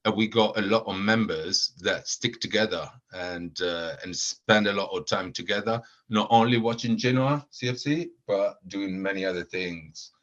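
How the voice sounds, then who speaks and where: Low-voiced man with many fillers, lo-fi. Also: normal speed, hardly any pauses, fairly bright tone. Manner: hesitant, many fillers and repeated words. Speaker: supporters club founder, guest. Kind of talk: casual podcast chat. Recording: dull lo-fi audio.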